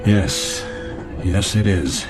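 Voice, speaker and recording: Frayed, low-voiced executive. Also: male voice, music in the background. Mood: embarrassed, polite. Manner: halting, weary, brittle. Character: frayed, insecure thirty-eight-year-old male advertising executive. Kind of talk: radio drama acting.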